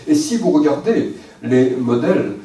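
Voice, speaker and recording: A man giving a measured lecture to an audience, often pausing on hesitant fillers. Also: mid-range voice, expressive, warm tone. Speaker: guest speaker. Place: lecture hall. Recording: very echoey hall, mic far off.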